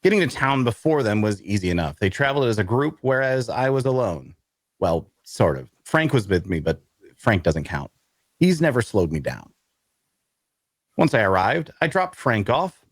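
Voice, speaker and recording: An actor playing an awkward, bookish loner adventurer who narrates an audio drama in a wry way. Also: male voice, medium pitch, sing-song, moderate pace, dry recording. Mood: wry narrating. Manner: wry, hesitant in conversation. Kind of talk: first-person narration and dialogue in audio drama.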